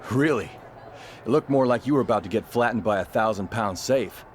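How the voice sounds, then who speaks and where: A man plays a seasoned wrestler in a video game, frank and protective. His voice is fairly low and earnest, with faint ambience around it. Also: brisk, slight background noise, natural voice. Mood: earnest, concerned. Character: steady veteran male wrestler, protective would-be tag partner. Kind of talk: video game cutscene acting.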